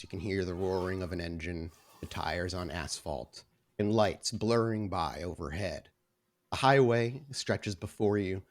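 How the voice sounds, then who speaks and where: A man speaking as the host and storyteller of a tabletop roleplay podcast. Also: deep voice. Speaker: host and game storyteller of an actual play podcast. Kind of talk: casual announcements, then slow scene-setting narration.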